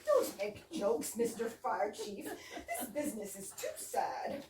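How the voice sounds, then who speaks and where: High, swooping woman playing an insistent housewife. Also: wildly swooping pitch, slow, heavily acted. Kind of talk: amateur readers theater stage reading. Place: echoey room at a live readers theater. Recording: echoey room, distant mic, faint noise.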